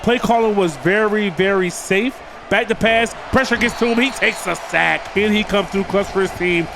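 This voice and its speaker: A man's voice: sits high, moderate pace, gravelly, background noise. A man with a cold, sounding nasal and stuffed up, doing casual play-by-play commentary over a football video game and talking to his viewers as "boys.". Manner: addresses viewers as "boys", hypes big plays. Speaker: gaming video creator.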